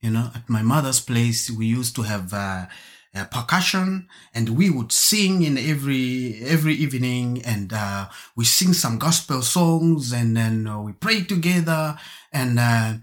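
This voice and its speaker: A man who grew up in Germany, talking as a musician guest in an interview, halting with fillers and restarts and laughing now and then. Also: medium pitch. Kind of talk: casual storytelling interview.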